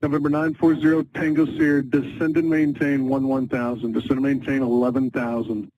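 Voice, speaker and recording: A man working as an air traffic controller, calmly giving procedural instructions and repeating them over an aviation radio. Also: mid-range voice, a little fast. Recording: narrow, noisy aviation radio transmission.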